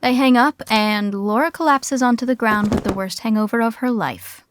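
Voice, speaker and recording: A woman's voice: on the high side, normal speed, background noise. A woman narrating a scripted sitcom in the present tense.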